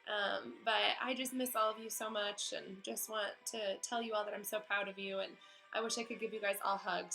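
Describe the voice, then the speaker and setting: A woman with a medium-pitched voice reads a storybook aloud as a teacher would. Her delivery is expressive, with casual explanatory asides. Music can be heard in the recording. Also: low-quality recording. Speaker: teacher reading aloud to pupils.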